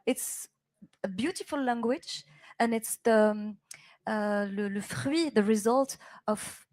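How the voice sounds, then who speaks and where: A woman poet speaks on a panel in a slightly high voice. She hunts for her English words, pausing and restarting. French phrases slip in whenever the English fails her. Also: a little mellow. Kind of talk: panel discussion.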